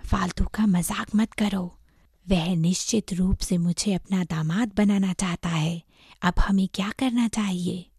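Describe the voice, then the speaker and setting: A woman voicing an angry, reproachful male monk master in a storybook tale, with a husky, breathy voice. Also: on the low side, a little slow, a little mellow, dry recording, clean studio sound. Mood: alarmed anger. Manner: indignant, reproachful. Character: angry, scolding revered monk master, adult man.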